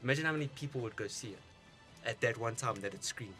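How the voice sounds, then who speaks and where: A man co-hosts a casual radio movie chat, thinking out loud and tripping over repeated words. His voice sits mid-range and sounds bright, with faint music playing underneath. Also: mid-range voice, fast talker. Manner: musing and speculative, with stumbles and repeats. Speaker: radio movie show co-host.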